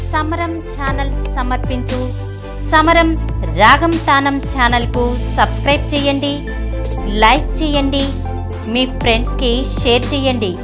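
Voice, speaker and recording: A woman reads a scripted promotional announcement in a high voice. Music plays clearly behind her as she addresses the audience as a channel announcer.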